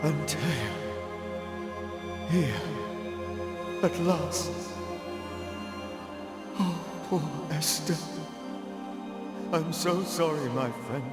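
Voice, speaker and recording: A man's voice: fairly high pitch, very slow, long pauses, loud music behind the voice. A man playing a solemn clergyman ghost hunter in a video game, with formal, declamatory speech. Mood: mournful. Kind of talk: theatrical period game drama. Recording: echoey hall with long reverb.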